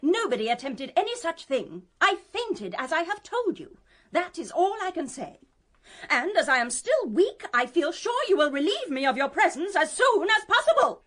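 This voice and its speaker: Haughty, high-voiced young English gentlewoman. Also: big pitch swings, normal speed, exaggerated voice. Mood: indignant. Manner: pompous, formal, clipped dismissive phrasing. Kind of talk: 1920s country-house radio mystery acting.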